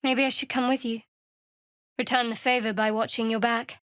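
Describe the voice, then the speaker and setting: Woman acting a guarded, sardonic young cleric, higher-pitched. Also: little pitch movement, a bit bright, no echo, close to an everyday voice. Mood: guarded, earnest. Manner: measured, dry, wry delivery. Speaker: video game voice actor. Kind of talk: scripted fantasy game dialogue.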